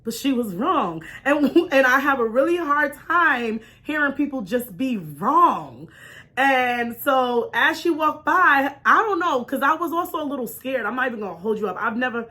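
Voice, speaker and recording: A woman with a high, bright voice hosts her own talk show. She tells personal stories in an informal, chatty way, expressively acting out dialogue and checking in with listeners as she goes. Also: lively intonation, moderate pace. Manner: punctuates points with check-in questions like "right?". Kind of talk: animated personal storytelling to an online audience.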